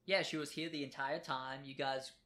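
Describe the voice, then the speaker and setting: A man's voice: mid-range voice, fairly even intonation, normal speed, sharp tone. A man co-hosting a casual podcast from a kitchen table at home, rambling and joking as he talks. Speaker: podcast co-host. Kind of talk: casual chat. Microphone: home podcast mic.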